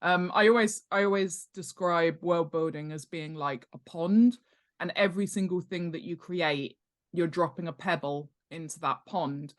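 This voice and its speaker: A woman chatting casually as a guest expert in an interview, in a chatty, jokey and emphatic way. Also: fairly low pitch. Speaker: guest author and world-building expert. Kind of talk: casual podcast interview chat.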